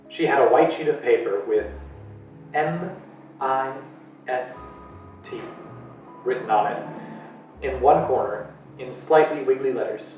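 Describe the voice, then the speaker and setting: Guy from the library reading a story out loud, animated, echoey room, far from the mic. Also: mid-range voice. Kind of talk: reading a book aloud. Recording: echoey room, distant mic, faint music.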